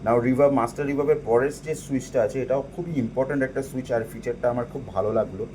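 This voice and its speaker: A man's voice: medium pitch, fast, a little mellow, slight background noise, poor audio. A man with a Bengali accent giving an informal step-by-step equipment walkthrough, explaining and mixing in English technical terms. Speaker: presenter demonstrating audio equipment.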